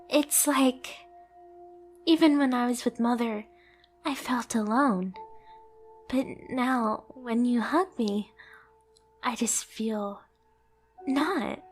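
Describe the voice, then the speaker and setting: A woman voicing a timid, clingy young catgirl pet in an ASMR roleplay, soft and girlish, with meek, hesitant, stammering, apologetic speech. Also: high voice.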